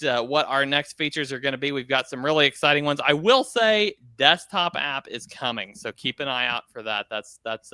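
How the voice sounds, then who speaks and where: A man's voice: medium pitch, sharp tone, clear, resonant tone, no room sound. A man hosting a podcast in a casual, conversational style. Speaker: podcast host.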